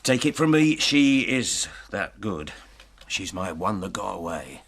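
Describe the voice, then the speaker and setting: An actor in a radio drama playing a genial, wry, self-assured retired police detective. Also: male voice, on the low side, big pitch swings, a little slow, a bit bright, husky, exaggerated voice. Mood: wry, reminiscing. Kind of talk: naturalistic radio drama acting.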